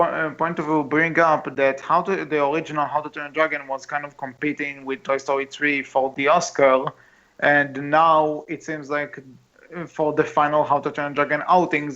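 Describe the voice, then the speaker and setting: Higher-voiced man, slightly dull recording. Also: pushed and bright. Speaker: podcast host. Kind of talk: casual film discussion.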